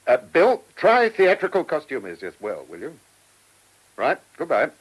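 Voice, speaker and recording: An actor playing a shrewd, gruff British police chief inspector in a radio play, with colloquial, musing speech. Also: male voice, on the high side, wildly swooping pitch, unhurried, exaggerated voice, low-quality recording. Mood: brisk. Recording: old radio drama recording.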